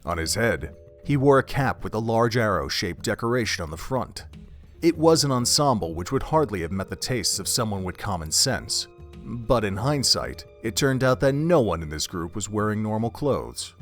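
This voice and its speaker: Low-voiced male story narrator. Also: very animated, normal speed, soft background music.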